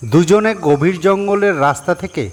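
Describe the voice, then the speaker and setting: A man narrating a scripted horror story as its reader, measured, pausing between short phrases. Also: high-pitched.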